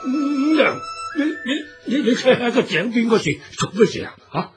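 A man playing an anguished old father-in-law in a Cantonese radio folk drama, halting, wailing and melodramatic. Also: extremely high pitch, big pitch swings, music in the background. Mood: worried, concerned. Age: older.

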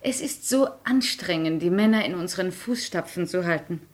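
A woman's voice: lowish voice, animated, moderate pace, close to an everyday voice. Woman playing an arch, flirtatious society lady. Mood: arch, mocking. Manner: arch, teasing, ironic. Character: flirtatious, cynical, witty high-society married lady. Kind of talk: 1960s German radio play acting.

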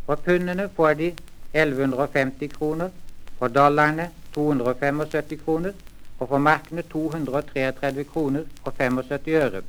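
Higher-pitched man, formal lesson reader. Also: low-quality recording. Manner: measured, carefully enunciated, formal. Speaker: language course reader. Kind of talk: formal scripted lesson reading, old-time language record. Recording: vintage 78rpm language record.

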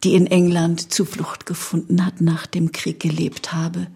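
A woman being interviewed as an author, recalling her own life in a halting, reflective way. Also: lowish voice, completely flat delivery, creaky, warm tone, breathy edge.

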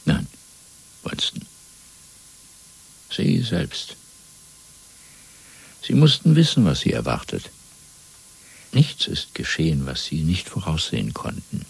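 Very deep, gravelly, menacing interrogator. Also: male voice, wildly swooping pitch, exaggerated voice, rough recording. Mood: cold, cruel. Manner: measured, domineering, rhetorical. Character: cold, menacing male Party interrogator, coolly fanatical. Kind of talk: German radio drama acting.

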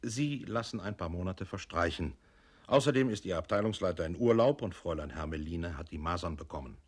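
A deadpan man reciting office procedures in a radio play. His voice sits somewhat low. He is formal and methodical, spinning out long official sentences. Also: brisk, husky. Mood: matter-of-fact. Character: deadpan male narrator reciting a petitioner's bureaucratic instructions.

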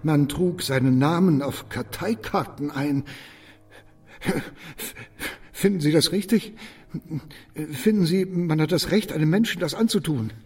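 A man acting a suspicious, secretive loner afraid of arrest in a radio drama, uneasy, halting and over-insistent. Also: a little high, darkish tone, slightly rough. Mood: agitated. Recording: distant from the microphone.

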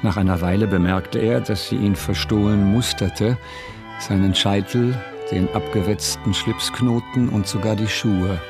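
Low-voiced male radio drama narrator. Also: fairly even intonation. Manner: measured, matter-of-fact. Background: music.

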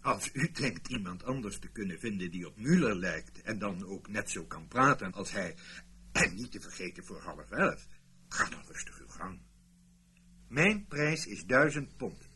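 A man's voice: on the low side, sing-song, measured pace, sharp tone, a little hiss or hum, full character voice. A man acting in a radio play as a reluctant, shrewd mercenary, speaking in a dramatic, sardonic way. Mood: cool, sardonic. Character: reluctant, shrewd mercenary male impersonator recruited by spies.

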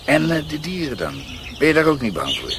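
An actor playing a genial, frank country doctor and uncle in a Dutch radio drama, conversational and avuncular. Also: male voice, a little high, huge pitch swings, a little fast, a bit bright, slight background noise, full character voice. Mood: kindly, curious.

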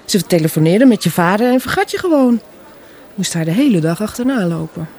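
Wistful mother, fifties, husky, gently stubborn, naturalistic radio play. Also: mid-range voice, animated, a little fast. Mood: reflective. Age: middle-aged.

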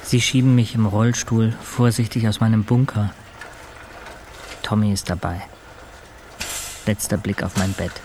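An actor playing a weakened, introspective hospital patient in a radio play, speaking in measured, terse fragments. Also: male voice, fairly low pitch, steady tone, noisy background. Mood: awed, subdued. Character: weakened male hospital patient, introspective, haunted, recounting his ordeal. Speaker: actor narrating a radio play in first person. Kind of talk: literary radio drama monologue.

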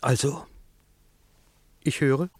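A man's voice: medium pitch, steady tone, very slow, a little mellow, slightly rough, dry recording. An actor playing a proud middle-aged father hiding guilt, curt, defensive and formal, in a 1960s German radio drama. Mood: guarded, cold.

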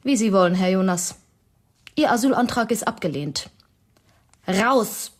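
A woman's voice: mid-range voice, measured pace, natural voice. Woman as a cool, haughty young executive. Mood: cold, dismissive. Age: young adult. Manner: formal, businesslike, clipped. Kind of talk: German radio drama acting.

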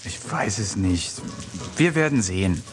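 An actor narrating a radio play as a poet, reading diary-like entries in a wry, introspective way. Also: male voice, fairly low pitch, expressive, a little slow. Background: music.

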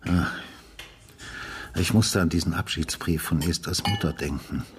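A man's voice: low-pitched, a little slow, a little hiss or hum. An older man playing a brooding retired homicide detective in naturalistic radio drama, speaking tersely and pensively.

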